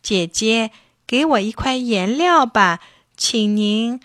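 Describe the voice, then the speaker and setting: A woman's voice: on the high side, expressive, takes it slowly, fairly bright tone, no echo, clean studio sound, pitched above the performer's own voice. A woman voicing a hot-tempered, sulky little boy in a children's story, in an emphatic childlike manner. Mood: pleading.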